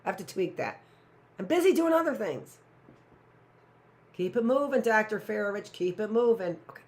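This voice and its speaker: A woman's voice: medium pitch, very animated, normal speed. A middle-aged woman teaching a live online class, rambling with sarcastic asides and talking to herself.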